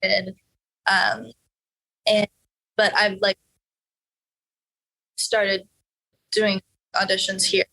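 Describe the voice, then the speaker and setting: A woman's voice: medium pitch, little pitch movement, very slow, long pauses, sharp tone, poor audio. A teenage girl from Norway answering interview questions casually, hesitant, with many fillers and restarts. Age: teens. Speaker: interview guest, young musician.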